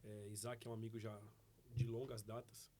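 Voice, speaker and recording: A man's voice: lowish voice, little pitch movement, dark tone, slight background noise. A man, a young preacher giving his testimony as a podcast guest, earnest and grateful. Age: 20s. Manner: grateful, earnest, fast-talking. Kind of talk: personal testimony in conversation.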